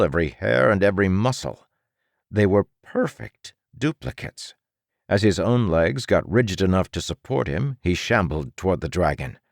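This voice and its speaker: Deep, dramatic male audiobook narrator. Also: vocal fry, no room sound, studio quality.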